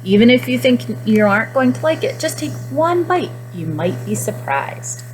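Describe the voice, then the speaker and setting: A woman teacher speaking to young children in simple, expressive phrases during a guided reading lesson. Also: on the high side, normal speed, soft background music, poor audio. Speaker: classroom nutrition teacher.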